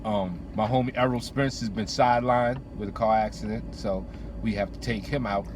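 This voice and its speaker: Emphatic low-voiced man over noisy ambience. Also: steady tone, normal speed. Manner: emphatic, repeats phrases, slangy. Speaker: host giving boxing commentary. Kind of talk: casual opinionated sports talk. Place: in or beside a vehicle.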